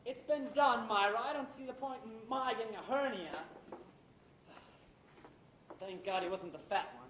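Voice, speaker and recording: A man's voice: normal speed, a bit bright. A man playing a smug, scheming middle-aged playwright husband in a live stage play, with theatrical, sardonic delivery. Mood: gleeful, scheming. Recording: echoey amateur stage recording, background rumble.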